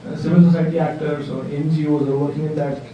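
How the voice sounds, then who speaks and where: A man speaking at a workshop discussion, pausing often with fillers and restarts. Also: on the high side, flat delivery, muffled recording. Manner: hesitant, many fillers and restarts. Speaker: workshop participant. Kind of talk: spoken contribution in a group discussion. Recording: distant mic in an echoey room with faint noise.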